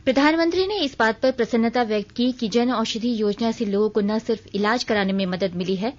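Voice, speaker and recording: A woman with a fairly high voice reads a formal, scripted radio news bulletin. The sound is slightly dull, as on a modest broadcast recording. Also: a little fast, slight background noise. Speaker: news reader.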